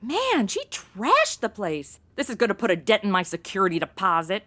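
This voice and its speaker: A woman's voice: medium pitch, wildly swooping pitch, moderate pace, fairly bright tone. An actress playing a brash, ditzy modern young woman in a comedic audio drama, talking in blunt, slangy valley-girl phrases. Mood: sassy, clueless.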